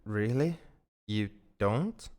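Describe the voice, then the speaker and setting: A man playing an anxious, lovesick alien friend in an ASMR roleplay, speaking in a halting, earnest, formally worded way. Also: on the low side, animated, darkish tone. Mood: stunned, hopeful. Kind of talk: ASMR roleplay, intimate dramatic monologue.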